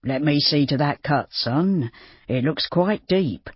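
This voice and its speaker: A reader voicing an older farmer, practical and steady, who tends animals and wounds. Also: mid-range voice, takes it slowly, slightly rough, no room sound, muffled recording.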